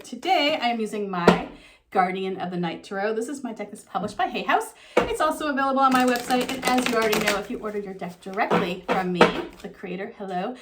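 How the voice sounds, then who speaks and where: A middle-aged woman giving a relaxed, talking tarot reading to her viewers, her voice a little froggy from a slight sore throat, with frequent ums and you-knows. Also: mid-range voice, heavy background noise. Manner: soft conversational, with frequent um and you know. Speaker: tarot reader.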